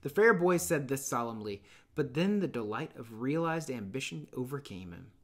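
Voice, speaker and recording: A man in his thirties teaching and reading aloud in an expressive, emphatic, explanatory way. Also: mid-range voice, normal speed. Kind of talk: explanatory lesson and storybook narration. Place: small dim room indoors.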